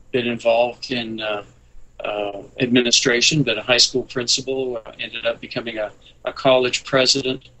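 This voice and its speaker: An older man in his sixties speaks as a guest on a talk radio show, his voice bright and forceful at an ordinary pitch. He pauses often to search for words, then drops in wry, joking asides. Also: poor audio. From Scotland. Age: 60s. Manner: wry, jokey asides with hesitant fillers. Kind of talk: casual interview talk.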